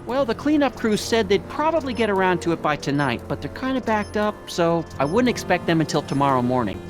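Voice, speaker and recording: A man's voice: high voice, sing-song, a little fast, music in the background. An actor voicing a nerdy, self-important middle-aged American father, fussy and officious, in a video game. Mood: earnest.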